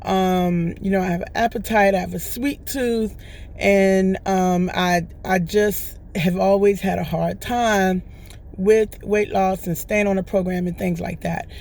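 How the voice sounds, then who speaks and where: Hoarse woman chatting on her vlog. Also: medium pitch, steady tone, a little slow, a little mellow. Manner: rambling, chatty, often checks in with "right?". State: hoarse, recovering from lost voice. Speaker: vlogger. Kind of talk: casual testimonial chat.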